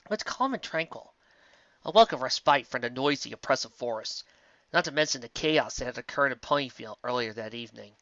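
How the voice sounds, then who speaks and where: A man reading a story aloud with expressive storytelling. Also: mid-range voice, a little fast, no echo, lo-fi sound. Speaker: reader. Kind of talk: audiobook narration of fan fiction.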